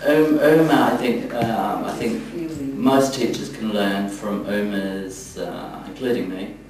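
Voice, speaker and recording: Low-voiced man coaching in an echoey room. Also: fairly even intonation, a crowd in the background. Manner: halting, searching for words, hesitant phrasing. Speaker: yoga teacher trainer leading a group class. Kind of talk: informal spoken coaching of a group. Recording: echoey room, distant mic, background noise.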